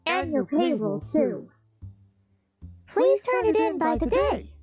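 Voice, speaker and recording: Sinister polite lady boss, acted by a woman, very high and swooping, slow, threatening, for a comic dub. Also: big pitch swings, darkish tone, faint music behind, heavily acted. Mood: sweetly menacing. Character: falsely sweet, menacing female boss from eldritch company.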